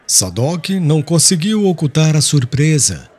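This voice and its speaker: Expressive, higher-voiced male audiobook narrator. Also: a little slow, some chatter behind. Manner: formal, storytelling. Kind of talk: scripted literary narration.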